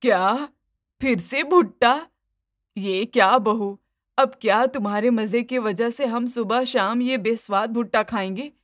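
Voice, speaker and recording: A woman's voice: on the high side, a little slow, dry recording, clean studio sound, natural voice. A woman voicing an impatient, scolding middle-aged mother-in-law in a cartoon family story. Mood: exasperated, scolding. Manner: scolding, exasperated. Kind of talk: animated moral story dialogue.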